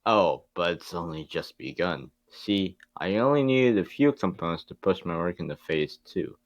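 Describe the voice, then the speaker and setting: A man voicing a gloating mad scientist villain in a cartoon table read, with theatrical, drawn-out proclamations. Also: deep voice, expressive, lo-fi sound. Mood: grandiose.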